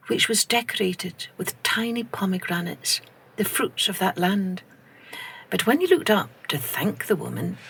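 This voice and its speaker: A woman telling a children's story aloud in an expressive, unhurried way, now and then dropping to a soft whisper. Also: medium pitch, breathy edge. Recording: music playing under the voice.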